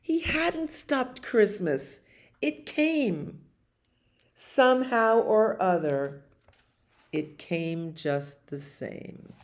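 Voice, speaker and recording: A woman in her sixties doing an expressive children's storybook read-aloud, with dramatic pauses and emphasis. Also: mid-range voice, a little hiss or hum. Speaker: library storytime reader. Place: small library community room, indoors.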